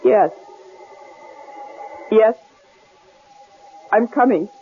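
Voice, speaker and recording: A woman's voice: a little high, extremely slow. An actress playing a frightened but spunky married woman, hesitant and pleading yet defiant, in a radio suspense drama. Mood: reluctant. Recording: muffled, noisy old radio recording.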